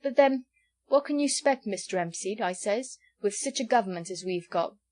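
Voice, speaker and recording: A woman doing a loud, blustering old innkeeper. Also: mid-range voice, moderate pace, natural voice. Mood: emphatic. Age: 60s. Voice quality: loud, emphatic, sententious. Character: bluff, opinionated male innkeeper, good-humoured.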